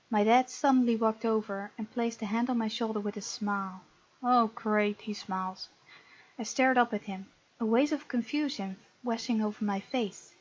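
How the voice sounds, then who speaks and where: A woman's voice: on the high side, darkish tone, dry recording, dull audio. A woman hosting a gaming video, reading game text aloud and breaking off to comment playfully.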